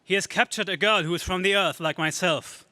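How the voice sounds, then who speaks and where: Guy doing plucky young earth hero, high voice, dry sound, earnest and urgent old radio adventure acting. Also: brisk, pushed and bright, no echo. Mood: defiant. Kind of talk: 1930s radio serial acting.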